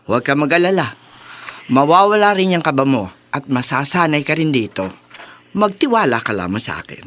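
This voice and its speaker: A man playing an assured older boss in a radio drama, reassuring and smooth-talking. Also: a little high, very animated, brisk, fairly bright tone. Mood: reassuring, confident. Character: assured, coaxing older boss recruiting a young dancer.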